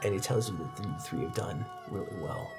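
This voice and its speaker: A man's voice: lowish voice, animated, a little fast, music in the background. A man running a tabletop game, narrating dramatically with casual, improvised asides. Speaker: game master.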